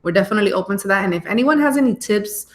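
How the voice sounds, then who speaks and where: A woman's voice: medium pitch, animated, racing, echoey room. A woman from the Bronx co-hosting a live podcast, chatting in an upbeat way and laughing easily. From the Bronx, New York. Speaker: podcast co-host. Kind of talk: casual live-stream chat.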